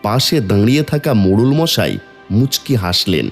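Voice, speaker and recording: A man's voice: fairly low pitch, animated, normal speed, soft background music. A man narrating a scripted cartoon folk story.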